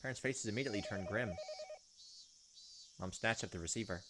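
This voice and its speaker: Fast-talking man narrating a game. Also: lowish voice, very noisy. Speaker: gaming YouTuber reading a visual novel aloud. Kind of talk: expressive narration with casual asides.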